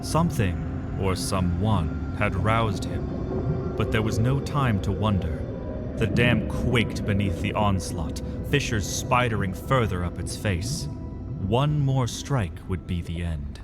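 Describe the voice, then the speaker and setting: A man with a lowish voice narrates a scripted fantasy tale. His delivery is solemn and dramatic, in a cinematic style, with loud music behind him. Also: expressive, slow.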